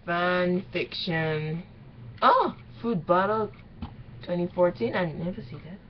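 A young woman doing a casual reaction vlog in halting, exclaiming, informal English. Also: lowish voice, animated. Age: young adult. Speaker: reaction video maker. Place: small bedroom, indoors. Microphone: webcam at arm's length. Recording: dull lo-fi webcam sound, faint noise.

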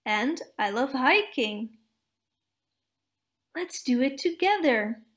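Woman playing slow-talking, easygoing young storybook outdoorsman. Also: high voice, expressive, fairly bright tone, exaggerated voice. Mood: enthusiastic. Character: friendly, easygoing young outdoorsman who plays lute.

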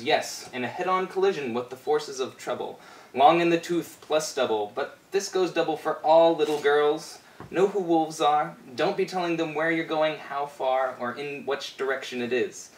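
A man in his twenties performing a rhyming spoken-word fairy tale with a playful, rhythmic delivery. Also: mid-range voice. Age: 20s. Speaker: storyteller performer. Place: small bare indoor room. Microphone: far camera microphone. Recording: roomy, distant camera mic with background noise.

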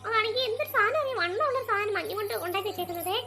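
A woman's voice: extremely high pitch, animated, rapid-fire, over music. A woman voicing a frightened little girl who insists she has seen a snow ghost, in a homemade toy play story. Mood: scared, insistent.

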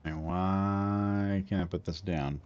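Deep-voiced man, relaxed game streamer. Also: slow pace, clean tone. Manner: relaxed, rambling, talks himself through each step. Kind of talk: casual gameplay commentary. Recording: slightly dull audio with faint music.

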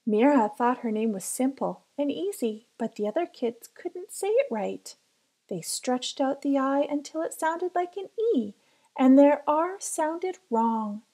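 A woman reading a children's picture book aloud as careful, expressive storytelling. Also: high voice, measured pace. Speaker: storybook reader.

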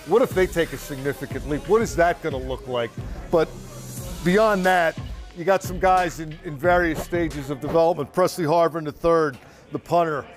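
A man's voice: on the high side, moderate pace, faint music behind. A man in his sixties, a team reporter, talking through his analysis in a casual, conversational way outdoors at a practice field. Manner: conversational, analytical, occasional dry humor. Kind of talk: casual sideline chat.

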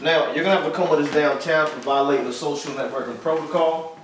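An actor playing a firm, officious police officer in a comedy skit, recorded in an echoey house. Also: male voice, on the high side, steady tone, quick pace, crowd noise, close to an everyday voice. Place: house interior. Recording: echoey room, far from the mic.

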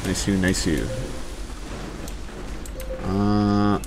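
A man's voice: low voice, steady tone, drawn-out words. A man doing casual let's play commentary and chatting with viewers in a wry, mock-exasperated way. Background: faint game music.

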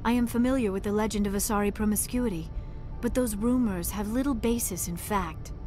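A woman's voice: medium pitch, brisk, warm tone, faint music behind, natural voice. A woman playing a young female alien scholar in a video game, earnest and reserved, with formal, precise speech. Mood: earnest, gentle. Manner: formal, precise wording, avoids contractions. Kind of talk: video game dialogue acting.

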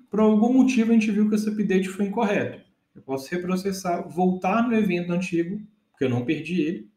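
A man's voice: high-pitched, warm tone. Brazilian man teaching at a whiteboard, echoey. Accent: Brazilian Portuguese. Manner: explains step by step, keeps checking in with listeners. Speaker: data engineering instructor. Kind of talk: casual whiteboard lesson.

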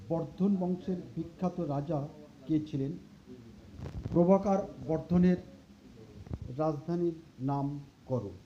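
A teacher reading out and explaining exam questions in short, deliberate phrases with pauses. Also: mid-range voice, warm tone. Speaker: teacher going through an exam paper. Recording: muffled lo-fi recording with clear background noise.

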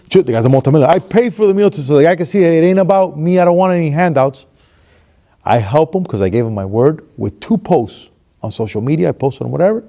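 A man in his thirties from Miami tells a motivational story, swinging between hushed and loud as he acts it out. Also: on the high side, vocal fry. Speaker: motivational speaker. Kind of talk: storytelling monologue. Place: stage with red curtain, seated.

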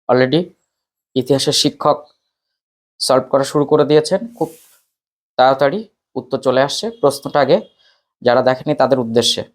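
A man talks students informally through an exam paper as their teacher, announcing item by item in a repetitive way. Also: on the high side.

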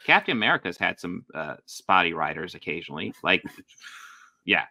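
Co-host, male, low gravelly voice, bright edge, wry exasperated stream chatter. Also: sing-song, moderate pace. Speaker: podcast co-host. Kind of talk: casual livestream commentary.

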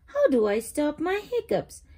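A woman's voice: sits high, sing-song, unhurried, dark tone, pitched above the performer's own voice. A woman voicing a puzzled young storybook kitten, exclamatory and pleading. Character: young cartoon kitten, puzzled, plagued by hiccups.

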